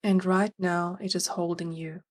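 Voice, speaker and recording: Slow-paced woman guiding a meditation. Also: on the low side, level tone. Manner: gentle, soothing, unhurried with long pauses. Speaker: meditation guide. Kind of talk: guided meditation narration.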